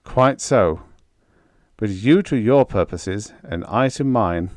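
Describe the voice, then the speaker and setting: A man's voice: lowish voice, expressive, takes it slowly, a little mellow, no room sound. A man voicing a doomed, defiant rich fugitive in an audiobook story, with grandly polite, commanding speech. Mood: commanding, resolved.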